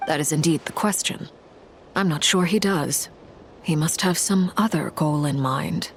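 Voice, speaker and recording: An actress playing a cool, formal, dryly condescending female commander in a video game, in a measured deadpan. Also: sits low, gravelly, a little hiss or hum. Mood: composed, serious. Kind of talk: English video game voice acting. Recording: game music behind the voice.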